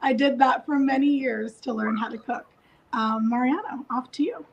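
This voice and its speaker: A woman's voice: high-pitched, normal speed, lo-fi sound, a little hiss or hum. A woman from Thailand, a cooking instructor chatting in an easygoing way on a live video call. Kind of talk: casual live video chat.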